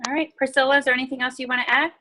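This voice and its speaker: A woman's voice: high-pitched, flat delivery, racing, bright, forceful tone, slight background noise. A woman co-hosting an online meeting as a team leader, explaining things in a casual, conversational way. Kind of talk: casual explanatory talk on a video call. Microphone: video call.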